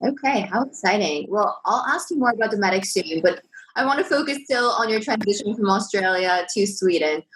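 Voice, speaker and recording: A woman's voice: medium pitch, lively intonation, brisk, fairly bright tone, poor audio. A woman hosting a marketing podcast, asking her guest questions in an upbeat, eager way. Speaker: podcast host. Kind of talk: interview hosting.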